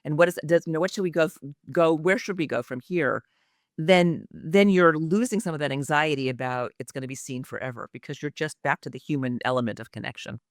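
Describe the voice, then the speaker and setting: A woman's voice: deep voice, a little fast, dry recording. Dry-sounding woman coach, earnest. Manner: thoughtful, earnest, reflective. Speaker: executive communication coach. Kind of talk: interview guest, conversational.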